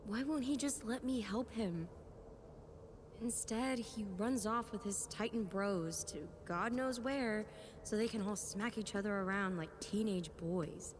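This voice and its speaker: A woman's voice: fairly high pitch, background noise, lightly acted. A woman playing a proud, impatient warlock, narrating in the first person in a fan audio drama. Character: frustrated, headstrong young female warlock, proud and impatient.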